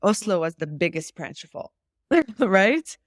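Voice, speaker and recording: A woman's voice: mid-range voice, very animated, measured pace, dry recording. Emphatic, insistent woman, dry close sound. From Israel. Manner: repeats key phrases for emphasis. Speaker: interview guest, activist. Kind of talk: political discussion.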